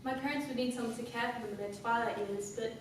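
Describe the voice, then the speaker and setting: A woman's voice: on the high side, close to an everyday voice. Echoey, distant young actress as coldly cunning woman. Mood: cool, confiding. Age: young adult. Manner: deliberate, formal, dramatic delivery. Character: composed, cunning woman, sharp-tongued and coldly self-possessed. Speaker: student actor. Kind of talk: theatrical stage monologue. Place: classroom performance in front of class. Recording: echoey room, distant mic, background noise.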